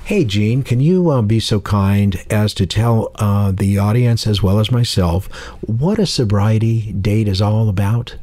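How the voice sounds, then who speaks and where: A man hosting an interview podcast, asking questions in an easygoing, unhurried way. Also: on the low side, dark tone. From San Francisco Bay Area. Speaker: podcast host. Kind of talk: casual interview.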